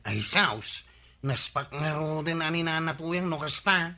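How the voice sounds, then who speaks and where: A man's voice: a little high, lively intonation, unhurried, sharp tone, heavily acted. A man playing an inquisitive, teasing village chief in a radio comedy drama, with an Ilocano accent.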